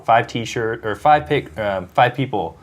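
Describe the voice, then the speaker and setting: A man in casual chat explaining a photo contest, halting with fillers. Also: mid-range voice, animated. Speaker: podcast guest from beer app.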